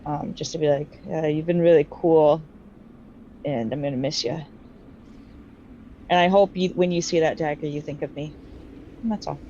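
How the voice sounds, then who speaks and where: A woman's voice: deep voice, fairly even intonation, normal speed, pauses often, warm tone, muffled recording, a little hiss or hum. A woman playing a tabletop game, chatting casually and speaking in character. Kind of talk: casual in-character roleplay chat.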